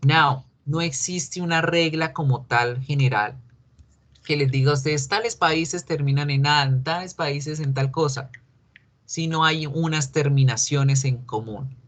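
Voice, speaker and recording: A man's voice: on the high side, unhurried, a bit bright. A middle-aged man with a Colombian Spanish accent, teaching an English class online and mixing Spanish with English as he repeats key points.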